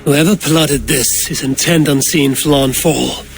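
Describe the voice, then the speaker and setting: An actor playing a terse, wry Norse warrior in a cinematic video game, with a dry, matter-of-fact delivery. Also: male voice, fairly high pitch, fairly even intonation, slow pace. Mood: grave, resolute. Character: gruff, wry, plain-spoken Norse warrior, adult male. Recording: music behind the voice.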